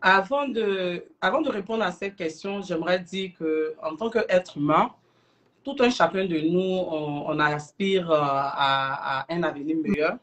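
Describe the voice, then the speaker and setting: A middle-aged woman with an Ivorian accent, speaking earnestly and deliberately as a guest in a livestream interview. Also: lowish voice. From Ivory Coast. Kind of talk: explanatory interview talk on a livestream.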